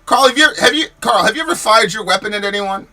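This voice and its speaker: A man's voice: high-pitched, expressive, quick pace, pushed and bright. An American man hosting a podcast, giving heated commentary on chat comments, arguing emphatically with rhetorical questions and repeating himself as he gets worked up. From United States. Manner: repeats words and restarts when worked up. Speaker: podcast host.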